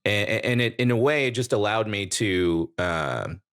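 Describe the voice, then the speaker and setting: A man in his forties from American Samoa, a guest telling his life story in a relaxed, joking way. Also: lowish voice, measured pace, clean tone. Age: 40s. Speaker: guest, YouTuber. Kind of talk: casual interview chat.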